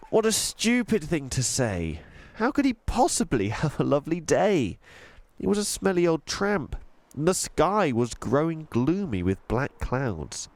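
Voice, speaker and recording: A man narrating a children's audiobook in an expressive storytelling style. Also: medium pitch.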